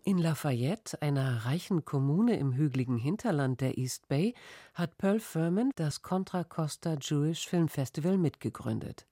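Brief: documentary narrator, mellow tone, dry close sound, measured delivery. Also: medium pitch, no echo. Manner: even, measured reading.

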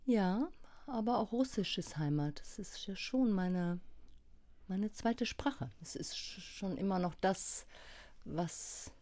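A woman's voice: medium pitch, animated, takes it slowly, darkish tone. A woman journalist speaking as a radio interview guest, reflective and thoughtful, often restarting her sentences. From Germany. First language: German.

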